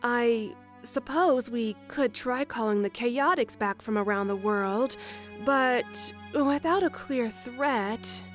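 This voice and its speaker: A woman plays a squirrel queen in a cartoon dub, regal and composed. Her fairly high voice is measured and diplomatic, with faint music underneath. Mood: serious, explaining. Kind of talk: comic dub voice acting.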